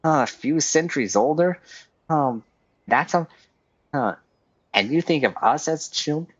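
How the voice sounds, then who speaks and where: A man's voice: fairly high pitch, expressive, a little slow, no room sound. Male game streamer, dry lo-fi sound. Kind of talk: reading game dialogue aloud. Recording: dull lo-fi sound with music behind.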